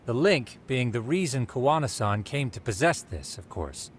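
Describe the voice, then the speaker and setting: An actor playing a precise, bookish researcher in an English game dub, speaking formally and methodically. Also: male voice, mid-range voice, sing-song, moderate pace, a little hiss or hum, close to an everyday voice. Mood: grave, intent. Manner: formal, exact, explanatory. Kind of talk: English video game dub cutscene acting.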